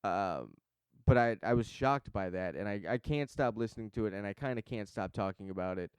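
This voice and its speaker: A man's voice: lowish voice, animated, a little fast, a little mellow, clear, resonant tone, no echo, clean studio sound. A man hosting a podcast, chatting in a laid-back, rambling and enthusiastic way. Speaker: podcast host. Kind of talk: casual interview chat.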